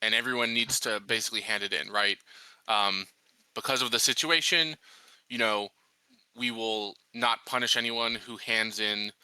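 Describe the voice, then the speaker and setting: A man with a very bright, forceful voice pitched a little low, talking through ideas in a tabletop game. He is casual and halting, working out his thoughts as he goes, and the sound is close and dry. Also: fairly even intonation, clean tone, dry recording, low-quality recording. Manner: hesitant, halting, thinking aloud. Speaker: player in a tabletop roleplaying game.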